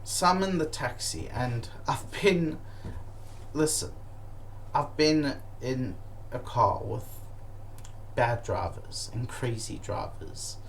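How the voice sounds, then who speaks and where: A man telling comic stories about his travels, animated and profane, with many fillers and restarts. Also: mid-range voice, slow, gravelly, a little hiss or hum, low-quality recording. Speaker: comedic storyteller.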